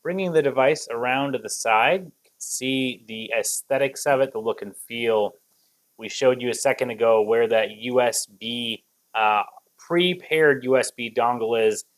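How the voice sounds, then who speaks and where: A man reviewing a product in an unscripted walkthrough, explaining things step by step. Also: mid-range voice.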